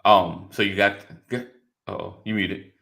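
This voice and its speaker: Deep, gravelly-voiced male host. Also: steady tone, a little slow, fairly bright tone. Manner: frequent short affirmations, tentative questions. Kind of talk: casual interviewing.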